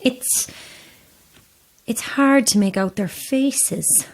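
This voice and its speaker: An actress playing a weary, grieving mother, guarded and uneasy, speaking in a subdued, halting way in a horror audio drama. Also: a little high, full character voice, rough recording.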